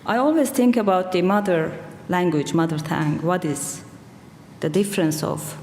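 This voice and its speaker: A woman in her forties with a Turkish accent, her first language Kurdish, speaking English with unhurried care. Her voice sits a little low and rings out in a roomy hall, with a faint room hum behind her. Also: a little mellow. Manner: slow, thoughtful, searching for words. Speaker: poet on a festival panel. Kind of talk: panel discussion answer. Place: large hall with audience. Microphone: table microphone.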